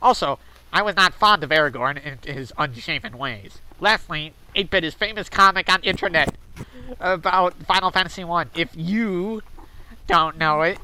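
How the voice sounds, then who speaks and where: A man's voice: a little high, moderate pace, pushed and bright, clean tone, low-quality recording. A man voicing a smug, defensive forum nerd, talking with his nose plugged. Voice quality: nasal, pinched nose. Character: smug nerdy male forum poster, defensive.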